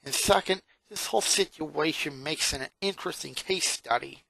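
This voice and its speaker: A performer voicing a four-year-old girl genius from a cartoon fan story, monotone and sarcastic, with pedantic, wordy phrasing. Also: medium pitch, normal speed, gravelly, no echo, poor audio. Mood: detached.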